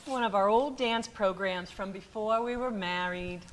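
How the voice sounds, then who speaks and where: A woman's voice: mid-range voice, moderate pace, lightly acted. A woman playing a sensible, long-suffering wife in old-time radio comedy style, wry and folksy. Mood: nostalgic, teasing. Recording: faintly noisy live stage recording.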